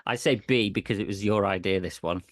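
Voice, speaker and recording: A man's voice: on the low side, brisk, no room sound, clean studio sound. A man co-hosting a podcast in casual, jokey banter, laughing often and nudging the group to begin. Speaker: podcast co-host.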